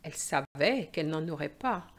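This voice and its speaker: A woman teacher reading and presenting in a slow, expressive storytelling style for language learners. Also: deep voice.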